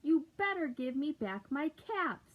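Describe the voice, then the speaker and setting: A woman voicing an angry grown-up cap peddler from a picture book, scolding and shouting demands over a tinny lo-fi recording. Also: sits high, big pitch swings, measured pace, pitched above the performer's own voice.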